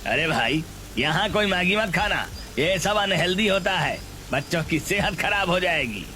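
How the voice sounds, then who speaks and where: A man's voice: high voice, lively intonation, normal speed, sharp tone, a little hiss or hum. A man voicing a scornful troublemaker in a cartoon. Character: loud scornful male troublemaker warning off customers. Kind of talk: scripted cartoon dialogue.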